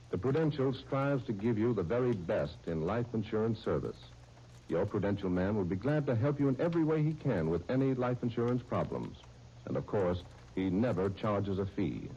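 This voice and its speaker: An American man announcing and narrating in a formal, measured, polished 1930s radio style. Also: on the low side, big pitch swings, warm tone, a little hiss or hum. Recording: muffled 1930s radio with music behind.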